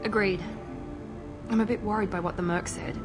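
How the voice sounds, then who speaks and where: A cool, guarded female operative, played by a woman who speaks in crisp, controlled sentences. Her voice sits in a middle range, with clear game music under the scene. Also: mid-range voice, fairly even intonation, normal speed, lightly acted. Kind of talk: video game cutscene dialogue. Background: game score music.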